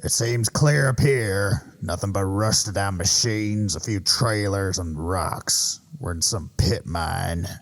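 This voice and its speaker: An actor playing a gruff, terse wasteland creature in an audio drama. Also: male voice, low-pitched, takes it slowly, few pauses. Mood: grim, observant.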